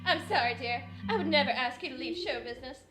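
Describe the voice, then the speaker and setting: A woman's voice: high voice, lively intonation, fast talker, crowd noise. A woman playing an overwrought, sardonic wife in a parody of 1940s radio drama. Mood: apologetic, sardonic. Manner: overwrought, theatrical. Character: hysterical melodramatic 1940s wife, Patricia, with a sardonic streak. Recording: roomy echo under loud music.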